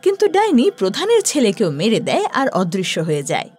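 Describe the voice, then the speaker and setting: A woman narrating a scripted horror story in a dramatic, expressive way. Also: medium pitch, a little fast, faint music behind.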